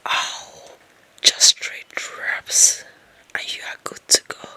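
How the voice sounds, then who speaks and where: African woman doing a obsessed office girl for asmr, super crisp bright whisper, coaxing and possessive, slow drawn out lines. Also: no room sound. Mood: fawning, eager. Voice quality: breathy whisper. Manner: coaxing, slow, emphatic, fawning. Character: lovestruck, possessive young female office employee, clingy and scheming.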